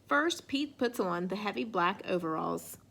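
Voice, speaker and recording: A woman reading a children's picture book aloud in an expressive way. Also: mid-range voice, unhurried, vocal fry. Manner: expressive, acts out sound effects and exclamations. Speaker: storybook reader.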